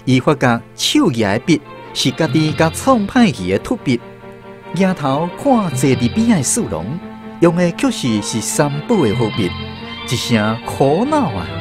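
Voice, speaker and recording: A man with a Taiwanese accent narrating a scripted radio drama as an expressive storyteller. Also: on the high side, a little slow, over music.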